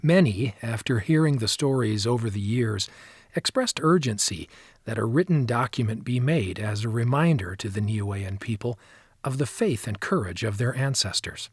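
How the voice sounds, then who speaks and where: A man's voice: mid-range voice, lively intonation, normal speed, slightly rough, no room sound, studio quality. A man narrating an audiobook, reading from a written script.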